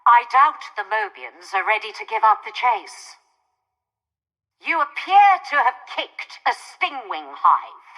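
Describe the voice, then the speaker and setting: An imperious, aristocratic woman who commands a starship in a video game. Her high, bright voice sweeps widely in pitch as she delivers formal, coolly sardonic remarks with scornful poise. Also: sing-song, slow, long reverb tail, exaggerated voice, rough recording. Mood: composed, commanding. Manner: haughty, formal, dryly sardonic. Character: haughty aristocratic female starship mistress, coolly disdainful. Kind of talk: scripted video game dialogue.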